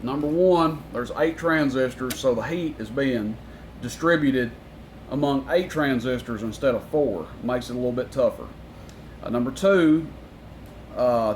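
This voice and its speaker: Man in a rambling product rundown, noisy recording. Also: mid-range voice. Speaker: radio and amplifier seller presenting inventory. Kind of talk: informal product rundown to camera. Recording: noticeable background noise.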